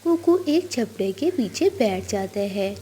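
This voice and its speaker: A woman's voice: a little high, big pitch swings, unhurried, dark tone, a little hiss or hum. A woman storyteller reading scripted narration for a children's cartoon.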